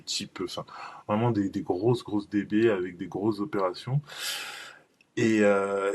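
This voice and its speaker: A man talks shop in a loose, chatty webinar style, piling up fillers and restarting sentences. His voice sits on the low side. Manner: rambling, informal, many fillers and restarts. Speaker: cloud benchmark analyst presenting a webinar. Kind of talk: casual webinar talk.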